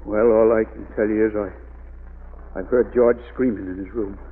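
An actor playing a loyal, reluctant caretaker with a rustic, hesitant way of talking, in a 1940s radio drama. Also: male voice, lowish voice, steady tone, moderate pace, husky. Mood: reluctant, nervous. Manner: rustic, plain-spoken, hesitant drawl. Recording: tinny old radio recording.

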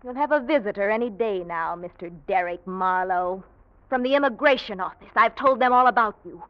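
A woman's voice: medium pitch, fairly quick, close to an everyday voice. Actress playing a cool, defiant woman, vintage radio. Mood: scornful, defiant. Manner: cool, pointed, mocking. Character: cool, proud, defiant young woman confronting a suitor. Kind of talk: 1940s radio drama acting. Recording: dull vintage radio recording.

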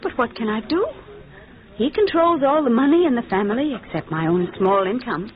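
A woman's voice: fairly high pitch, lively intonation, brisk, close to an everyday voice. A woman playing a haughty, sly, scheming society wife in a 1940s radio drama, with coquettish manners. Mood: feigned distress, coy. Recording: muffled, narrow-band old radio recording.

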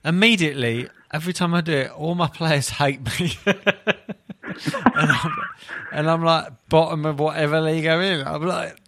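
A man's voice: a little high, steady tone, moderate pace, noisy background. A man hosting a podcast in casual football chat, with a self-deprecating way of talking. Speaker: podcast host.

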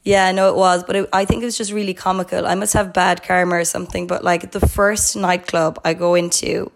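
A young woman co-hosting a podcast, her voice hoarse, chatting upbeat and casual. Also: fairly low pitch, flat delivery, brisk. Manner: chatty, upbeat, lots of "like" and "absolutely". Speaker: podcast co-host. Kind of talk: casual chat.

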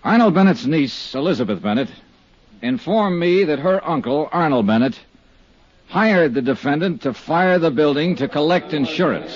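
An American actor playing a terse insurance investigator in a 1950s radio detective drama, speaking in a measured, factual way. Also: male voice, on the high side, animated, close to an everyday voice. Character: terse, matter-of-fact male insurance investigator. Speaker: lead actor and narrator. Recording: dull vintage 1950s radio recording.

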